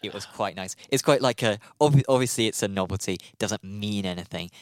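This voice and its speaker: A man co-hosting a racing podcast in casual chat, relaxed and hesitant with many fillers. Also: fairly low pitch, a little fast, no room sound, clean studio sound. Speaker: podcast co-host. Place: studio.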